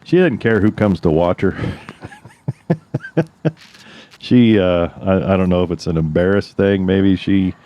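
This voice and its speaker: A man's voice: sits low, takes it slowly, pauses often, mellow, dull audio. A man co-hosting a podcast in casual chat, easygoing and chuckling, often trailing off mid-thought. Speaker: podcast co-host.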